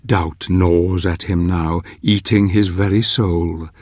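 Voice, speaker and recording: An actor playing a grave, foreboding older chronicler, narrating a dark fantasy game in a solemn, theatrical, measured manner. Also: male voice, low voice, steady tone, no echo, studio quality. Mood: grave, brooding.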